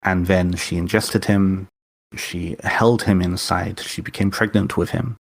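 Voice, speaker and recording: Guest: Welsh-tinged British man, very deep voice, eager storyteller, dry close sound. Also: fairly even intonation, measured pace, no room sound. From Wales. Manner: enthusiastic, retells legend at length with restarts. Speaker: podcast guest, author and witch. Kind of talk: casual storytelling interview.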